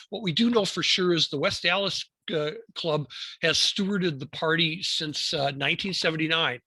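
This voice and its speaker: An American man giving an informal club presentation, speaking haltingly and often repeating words and phrases as he goes. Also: fairly high pitch, pushed and bright, no room sound. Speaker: amateur radio club presenter.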